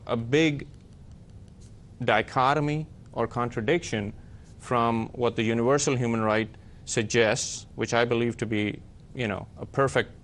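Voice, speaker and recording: A man from Pakistan speaking as a panelist, explaining and reflecting deliberately, phrase by phrase. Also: mid-range voice, a bit bright, a little hiss or hum. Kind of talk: personal reflection in a discussion.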